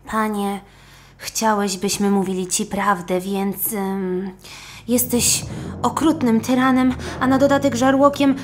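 A naive storybook sheep, voiced by a woman speaks up in a high, nervous voice. She is halting and timid, yet candid. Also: fairly even intonation, slight background noise, close to an everyday voice. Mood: timid, blurting.

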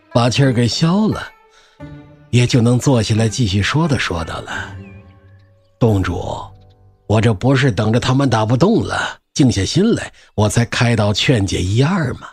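A man acting an animated, wry old scholar. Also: mid-range voice, moderate pace, faint music behind, natural voice. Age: older. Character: spirited, easygoing old Confucian scholar, wry and unbowed. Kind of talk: Chinese audio drama acting.

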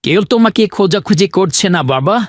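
A man voicing a calm, fatherly doctor in a cartoon. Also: high-pitched, fast, no echo, studio quality. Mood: solemn. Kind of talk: cartoon voice acting.

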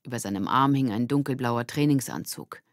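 This is a narrator of a children's audiobook, reading scripted prose. The pitch sits in the middle, and the recording is dry, with no room sound. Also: brisk, no echo.

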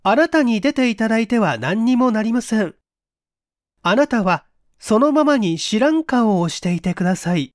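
A woman's voice: fairly high pitch, expressive, normal speed, dry recording, natural voice. Earnest male secret agent for an audiobook played by a woman: grave, polite, urgent, close dry sound. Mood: urgent, pleading. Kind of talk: dramatic audiobook reading.

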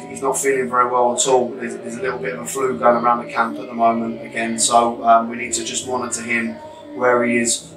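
Thirties man, London accent, measured post-match talk, even and flat, in a boomy changing room. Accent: London English. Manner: measured, reflective, many fillers and restarts. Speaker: football manager. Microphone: camera mic at a few metres. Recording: echoey small room, distant mic.

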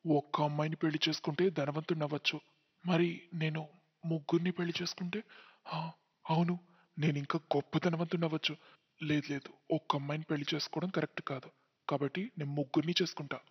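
A man's voice: on the high side, level tone, fast talker, husky. A man voicing a greedy, scheming young man in a theatrical cartoon story manner. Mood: scheming, pleased. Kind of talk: dramatized Telugu cartoon story dialogue. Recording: muffled, long hall reverb.

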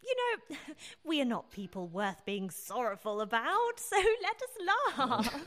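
An arch society lady in a radio drama, played by a woman. Her high voice swoops around expressively, genteel, teasing and easily excited. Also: measured pace, full character voice. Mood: wry, hopeful. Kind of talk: radio play acting.

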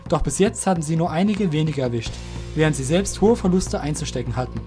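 Higher-pitched male storyteller over music. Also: lively intonation, fairly quick, a little mellow. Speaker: narrator. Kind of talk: scripted fantasy audio drama narration.

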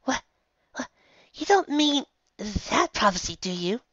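A man's voice: very high voice, very animated, unhurried, fairly bright tone, no room sound, lo-fi sound, pitched above the performer's own voice, full character voice. A man doing an anxious young palace maid mare. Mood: worried.

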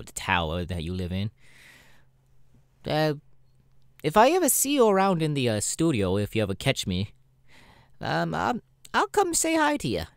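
Up close and dry, a man plays a sassy, cynical demon showman in an ASMR roleplay. His pitch swings widely as he drawls through casual, irreverent chat with hesitant pauses. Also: no echo. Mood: friendly. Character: sassy, cynical male demon adult performer.

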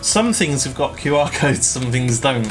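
A man's voice: medium pitch, animated, unhurried, over music. A man in his thirties co-presenting craft products with playful jokes, filmed in a small stockroom by a camera on the table. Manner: joking, playful asides. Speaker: shop presenter. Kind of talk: casual product showcase chat.

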